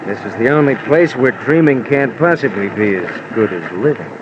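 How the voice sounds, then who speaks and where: Man playing laconic schooner skipper, blunt, swooping intonation, old radio drama, clear background noise. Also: mid-range voice, animated, moderate pace, muffled recording, natural voice. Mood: dry, blunt. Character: gruff, laconic male schooner captain, philosophical and blunt. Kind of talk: 1950s radio drama acting.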